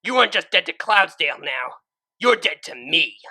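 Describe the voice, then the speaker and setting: A reader voicing a grown female pegasus turned cruel, who yells at a young pony with bitter fury in a fan fiction audiobook. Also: medium pitch, sing-song, moderate pace, pitched above the performer's own voice, exaggerated voice. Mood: furious. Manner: furious yelling, bitter and cold. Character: adult mare pegasus turned ruthless, screaming with hate.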